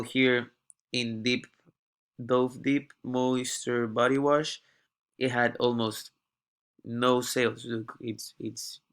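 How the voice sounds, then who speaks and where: A young male student talks through a class presentation while sick and coughing. He speaks in a halting way and often repeats a word before pressing on. His voice sits at an ordinary middle pitch. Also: fairly bright tone, clean tone. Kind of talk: class presentation walking through a data dashboard.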